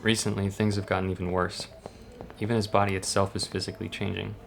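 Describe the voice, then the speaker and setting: Man playing a gravelly, reserved hospital doctor. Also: deep voice, fast, slight background noise. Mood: calm, clinical. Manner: calm, clinical, reserved. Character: measured, reassuring male hospital doctor. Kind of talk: horror manga dub acting.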